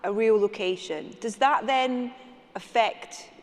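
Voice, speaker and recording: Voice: medium pitch, takes it slowly. Chatty panel moderator. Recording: echoey hall, faint noise.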